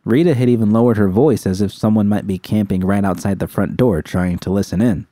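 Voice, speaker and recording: A man's voice: on the low side, a little fast, warm tone, no room sound, clean studio sound. A man reading scripted first-person fiction as an audiobook narrator.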